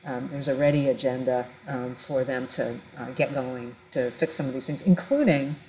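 Woman, dark relaxed tone, conversational expert explainer, faint background noise. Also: rumbling low, normal speed, low-quality recording. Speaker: podcast expert panelist.

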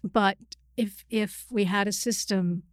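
A woman's voice: mid-range voice, steady tone, takes it slowly, dry recording, studio quality. A woman explaining things informally as an expert interview guest, often breaking off and rephrasing mid-sentence. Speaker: interview guest, psychiatrist and writer.

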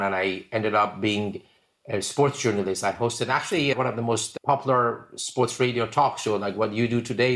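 Low-voiced Iranian-accented man telling his story. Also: a bit bright. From Iran. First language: Persian. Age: 50s. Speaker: podcast guest, venture capitalist. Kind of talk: conversational personal storytelling.

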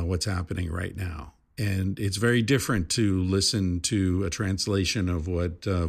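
A man's voice: sits low, vocal fry. A middle-aged man hosting a podcast, talking casually and rambling, with lots of fillers and the odd swear word. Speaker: podcast host. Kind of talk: casual podcast intro monologue.

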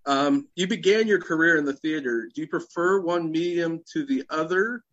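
A man's voice: a little high, normal speed, fairly bright tone. A male interviewer asking polite, prepared questions and giving brief affirmations. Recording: dull lo-fi sound.

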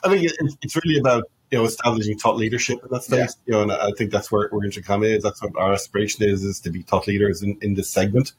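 A man's voice: fairly low pitch, fast, hardly any pauses, a little hiss or hum, rough recording. An Irish man in his fifties giving an earnest, explanatory answer in a conversational podcast interview, often saying "you know". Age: 50s. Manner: earnest, explanatory, frequent 'you know'. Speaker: interview guest, customer support executive.

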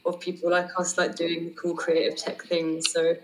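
A woman's voice: on the low side, monotone, normal speed, rough recording. A woman hosting an interview, asking friendly questions with fillers and restarts. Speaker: host. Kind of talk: casual interview chat. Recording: faint background noise.

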